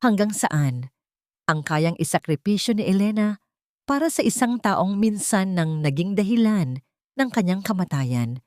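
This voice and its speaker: A woman with a Filipino accent narrates a scripted dramatic story in a measured, solemn, suspenseful way. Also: mid-range voice, animated, darkish tone, dry recording, clean studio sound. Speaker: storyteller, narrator of audio stories.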